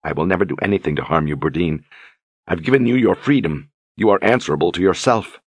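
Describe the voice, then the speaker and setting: A man voicing a calm, steadfast young lord who speaks gently and reassuringly. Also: low voice, fast, dry recording. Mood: gentle.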